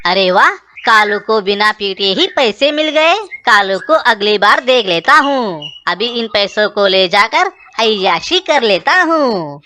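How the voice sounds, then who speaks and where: A woman's voice: medium pitch, expressive, unhurried, bright, forceful tone, a little hiss or hum. A woman playing a vengeful, bullying male owl in a children's cartoon, taunting and menacing an old enemy. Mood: gloating. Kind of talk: children's cartoon story dialogue.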